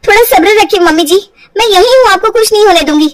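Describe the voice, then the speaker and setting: A woman voicing a caring, slightly put-upon young daughter-in-law, earnest and expressive, in an acted storybook. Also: very high-pitched, fast talker, pitched above the performer's own voice. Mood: reassuring. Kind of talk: acted Hindi audio storybook.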